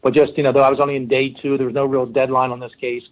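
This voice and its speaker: A man's voice: medium pitch, level tone, rapid-fire, muffled recording. Middle-aged Philly-accented man, loose rambling guest storyteller. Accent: Philadelphia. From Philadelphia. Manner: rambling, many fillers and restarts. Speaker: forensic linguist guest. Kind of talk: casual interview storytelling.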